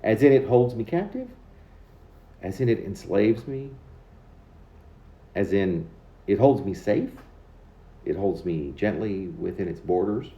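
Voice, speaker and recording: A man with a low, very mellow voice reads his own poetry aloud. His pitch moves expressively across a wide range as he phrases each line deliberately. The recording is a little dull and lo-fi. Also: expressive, echoey room. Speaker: poet reading own work. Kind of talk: poetry reading.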